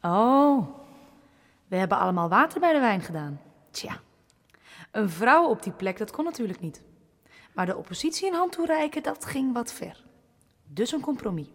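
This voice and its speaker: A woman's voice: on the high side, sing-song, normal speed, long pauses, fairly bright tone. A woman playing a proud, defiant campaigner in a Dutch radio drama, speaking with pointed, sardonic rhetoric in a reverberant hall. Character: proud, defiant woman fighting for her flooded region. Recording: long reverb of a large hall.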